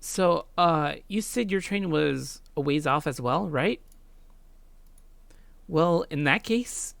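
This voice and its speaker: Woman playing an awkward low-voiced teenage schoolboy. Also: lively intonation, takes it slowly, gravelly, no room sound, full character voice. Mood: hesitant. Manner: hesitant, awkward. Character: awkward, guilt-ridden teenage schoolboy, visual novel protagonist. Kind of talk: visual novel character dialogue.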